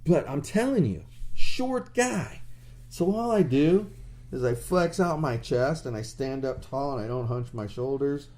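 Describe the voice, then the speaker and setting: Animated, crude-talking man co-hosting a podcast. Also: medium pitch, unhurried. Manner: blunt, animated storytelling with crude jokes. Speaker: podcast co-host. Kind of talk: casual chat.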